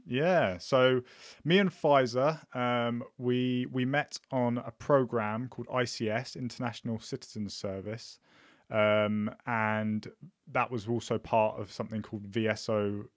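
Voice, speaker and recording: A man hosting a podcast, talking in a relaxed, hesitant way with many ums and restarts. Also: medium pitch, unhurried, no room sound, clean studio sound. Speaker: podcast host. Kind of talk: casual conversational interview.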